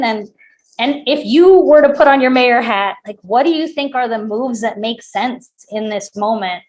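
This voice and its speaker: An American woman moderating a panel, framing questions in a measured, reflective way. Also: mid-range voice. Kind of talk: thoughtful discussion moderating.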